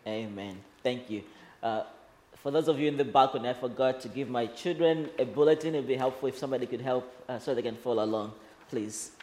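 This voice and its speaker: A man from Malawi, a guest pastor, leading a church service in an earnest, halting way with frequent fillers. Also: mid-range voice, a little mellow, slight background noise.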